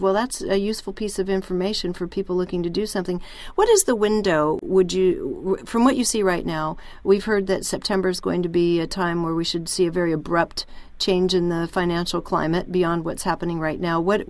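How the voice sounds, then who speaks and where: Low-voiced woman interviewing. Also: steady tone, a little fast, few pauses, a little mellow, no echo. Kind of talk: conversational phone interview. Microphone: telephone call.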